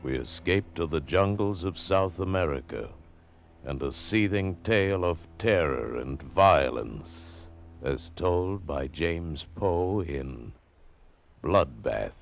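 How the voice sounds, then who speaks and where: Male announcer for old radio thriller, bass voice, slow portentous delivery, muffled vintage sound. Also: lively intonation, gravelly, faint music behind. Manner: dramatic, measured, portentous. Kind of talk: ominous 1940s radio drama introduction. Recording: dull old radio recording.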